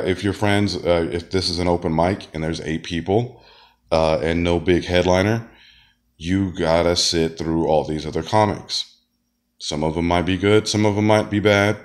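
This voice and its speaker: A man who is sick, talking in a rambling casual monologue with many fillers and restarts, sometimes coughing. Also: sits low. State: sick, deep voice. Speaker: wrestler and comedian.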